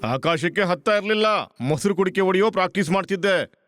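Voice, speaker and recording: A man's voice: high voice, fast, a bit bright. A man voicing a pushy, bragging rival in a children's cartoon, with a taunting delivery. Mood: bossy. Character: boastful, pushy male cartoon show-off, loud-mouthed rival. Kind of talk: exaggerated children's cartoon dubbing. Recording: faint music behind the voice.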